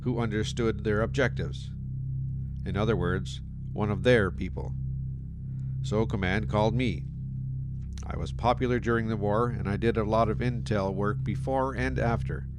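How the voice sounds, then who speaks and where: An actor playing a calm, secretive veteran starship captain who talks in a measured, wry, confiding way. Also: male voice, fairly low pitch, close to an everyday voice. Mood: steady, confiding. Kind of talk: fan audio drama acting. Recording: loud music score underneath.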